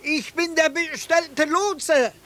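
An actor playing a courteous, deliberate harbour pilot in a radio drama. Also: male voice, very high-pitched, bright, forceful tone, full character voice. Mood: inquiring. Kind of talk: 1990s German radio play acting.

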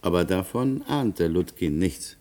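An actor playing a courteous but firm public prosecutor in a German radio play, deliberate, formal and probing. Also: male voice, sits low, expressive, mellow.

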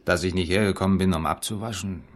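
A man's voice: low-pitched, fairly even intonation, quick pace, natural voice. A man playing a sly, chummy police detective in a radio crime drama, needling in a casual way. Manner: needling, casual, tags questions with hm. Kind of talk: 1970s German radio drama acting.

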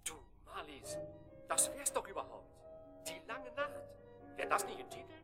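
An actress in a German radio drama playing a musing voice from memory, quiet and distant. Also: female voice, fairly high pitch, huge pitch swings, normal speed, creaky, exaggerated voice. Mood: musing, wistful. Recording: distant quiet voice under loud piano.

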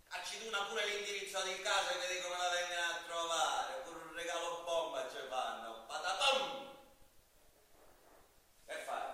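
A man's voice: on the high side, bright, forceful tone. A man playing a bitter, defiant vigilante prisoner in a stage monologue, confronting a judge with sarcastic, rhetorical questions. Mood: angry, taunting. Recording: echoey noisy hall from far off.

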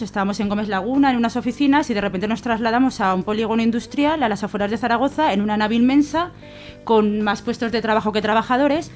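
A woman with an accent from Spain, a worker being interviewed on the radio, explaining things conversationally. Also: on the high side. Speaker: interview guest, worker and union member.

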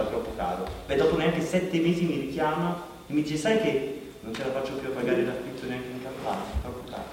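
A male actor plays an exasperated, digressive man in a theatrical monologue, chatty yet agitated. His voice sits a little high. It is heard from far off in a very reverberant hall, with faint noise behind. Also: lightly acted. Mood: weary, telling. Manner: exasperated, digressive, conversational storytelling. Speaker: stage actor. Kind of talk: theatrical monologue in Italian stage production. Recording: very echoey hall, distant mic, faint noise.

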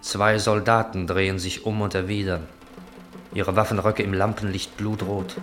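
Narrator for radio drama: man, low register, measured literary reading, music clearly behind. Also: level tone. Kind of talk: scripted radio play narration.

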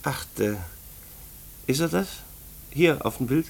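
Husky, bashful country postman. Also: a little high, lively intonation, takes it slowly, a little mellow, full character voice. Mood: kindly, flustered. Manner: stammering, evasive, folksy. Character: kindly, bashful male rural postman. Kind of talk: German radio play acting.

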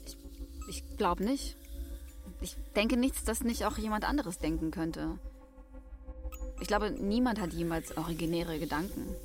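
A woman's voice: on the low side, a little slow, long pauses, soft background music. Young woman, earnest, searching, thoughtful, hesitant, natural radio drama delivery. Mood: hesitant, guarded. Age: young adult. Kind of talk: naturalistic radio play acting.

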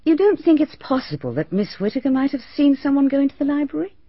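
An actress playing a composed, well-spoken village hostess who answers briskly and a little defensively in a radio drama. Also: female voice, high-pitched, sing-song, no room sound. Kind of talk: BBC radio drama acting. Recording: dull archival radio recording.

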